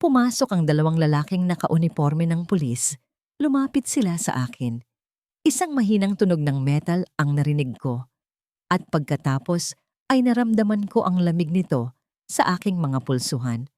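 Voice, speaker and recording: A woman narrates a dramatic first-person story. Her voice sits on the low side and she delivers the script in measured, short phrases. The recording is close and dry, with very little room sound. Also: sing-song, mellow, no room sound.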